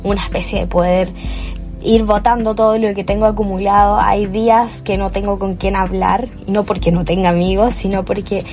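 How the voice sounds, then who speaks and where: A chatty young Chilean woman hosts her own podcast, rambling happily and improvising as she goes. Her voice sits at an ordinary pitch, and soft music plays faintly behind her through a modest home microphone. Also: little pitch movement, moderate pace. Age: young adult. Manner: chatty and cheerful, with fillers and restarts. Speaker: podcast host. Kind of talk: improvised solo podcast monologue. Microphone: cheap home microphone.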